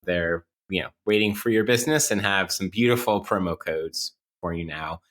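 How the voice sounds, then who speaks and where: Deep, bright-voiced man hosting a podcast. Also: animated, moderate pace. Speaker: podcast host. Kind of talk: casual intellectual podcast interview.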